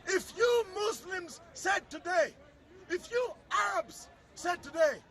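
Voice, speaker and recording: Very high-pitched man making an impassioned speech. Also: lively intonation, takes it slowly, fairly bright tone, raised voice. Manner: declamatory, emphatic, repetitive phrasing. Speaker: public soapbox speaker. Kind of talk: impassioned political street oratory. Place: outdoors at a public speakers' corner. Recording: outdoor recording with faint crowd. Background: faint crowd, urban outdoor sound.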